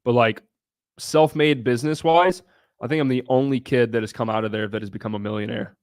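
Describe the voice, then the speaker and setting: A man's voice: medium pitch, a little fast, clean tone, no room sound, clean studio sound. A young man from Southern Ohio hosting a casual livestream, answering viewers' questions in a brash, blunt way and swearing freely. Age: young adult. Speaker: livestream host.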